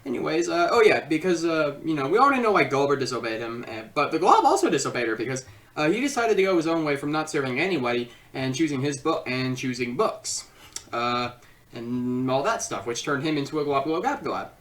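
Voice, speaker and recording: A woman giving a casual, rambling, excitable comedic film review. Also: sits low, fairly quick, distant mic.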